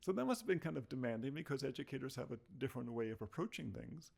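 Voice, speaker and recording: A man's voice: mid-range voice, big pitch swings, fast talker, creaky, darkish tone, husky. A middle-aged man hosting an interview show, chatty, thinking aloud and repeating words as he goes. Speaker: show host. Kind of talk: interview host.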